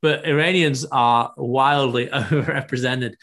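A man speaks as an interview guest, explaining at length in a fluent, thoughtful way. His pitch is middling, and fillers are scattered through his speech. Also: steady tone, a little slow, fairly bright tone. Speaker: guest, startup investor. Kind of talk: casual interview.

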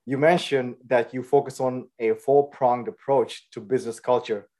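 A man's voice: medium pitch, steady tone, dark tone. Curious, encouraging male interviewer. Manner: curious and encouraging, with quick affirmations. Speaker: interviewer, host. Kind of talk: interview questions.

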